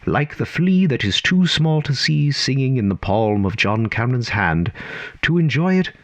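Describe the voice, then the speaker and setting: An actor as a whimsical storyteller narrating an audio drama in a dramatic, theatrical manner. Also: male voice, medium pitch, very animated, moderate pace. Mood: wry, wondering. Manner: dramatic, swooping from hushed to urgent.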